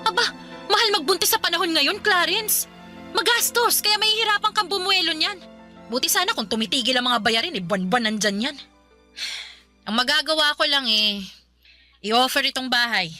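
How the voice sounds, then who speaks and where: An actress playing an exasperated older sister in a Filipino radio drama, blunt and scolding with a caring streak. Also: female voice, high-pitched, animated, normal speed, pauses often, pushed and bright. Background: music bed.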